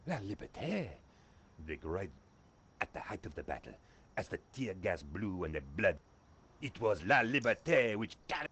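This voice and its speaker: A man playing an excitable, pompous Frenchman with a French accent and theatrical delivery in an adventure game. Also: on the low side, moderate pace, a little hiss or hum. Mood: grandiose. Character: two excitable Frenchmen: café waiter and bumbling police sergeant.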